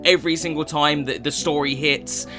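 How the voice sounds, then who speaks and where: A man's voice: a little high, fairly even intonation, moderate pace, pushed and bright, faint music behind. A twenty-one-year-old man, casually answering fan questions for his gaming channel, chatty and sweary, with many restarts. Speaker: gaming YouTuber.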